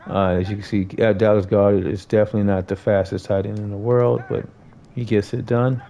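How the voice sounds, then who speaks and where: A man's voice: on the low side, flat delivery, brisk, vocal fry, dark tone, highs cut off. An American man giving a casual, conversational gameplay tutorial, talking informally to viewers as a host.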